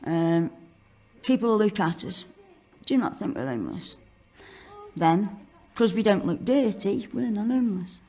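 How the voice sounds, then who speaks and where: A woman's voice: medium pitch, expressive, unhurried, long pauses, dark tone, breathy edge. A woman giving candid, informal testimony in a blunt, colloquial way. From Newcastle-under-Lyme, England. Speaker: interviewee telling own story of homelessness.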